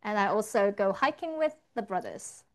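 A woman's voice: medium pitch, lively intonation, moderate pace. A woman interpreting a church testimony, rendering the speaker's words line by line in the first person. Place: church service.

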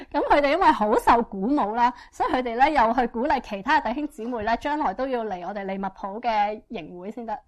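Woman, church interpreter, rapid delivery, higher voice, fluent, frequent fillers. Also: animated. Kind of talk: consecutive interpretation.

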